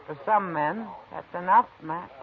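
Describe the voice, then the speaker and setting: An actress playing a calm, discreet saloon woman in a 1950s radio drama, with a low, easy, guarded voice. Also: measured pace. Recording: tinny old radio broadcast with hiss.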